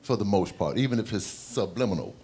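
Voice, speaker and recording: Low-voiced man, measured and reflective. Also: lively intonation, gravelly, mellow. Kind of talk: casual panel interview.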